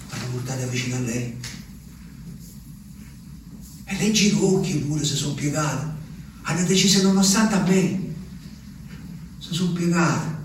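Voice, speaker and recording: An actor playing an anguished, simple Italian peasant man, telling his memories in a halting, urgent stage monologue. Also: on the high side. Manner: halting, repetitive, urgent storytelling. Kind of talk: theatrical monologue. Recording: echoey room, distant mic, faint noise.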